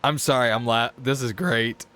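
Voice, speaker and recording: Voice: mid-range voice, steady tone, normal speed, a bit bright. A game streamer giving casual live commentary, reactive and joking, with some swearing. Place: game stream, game music behind.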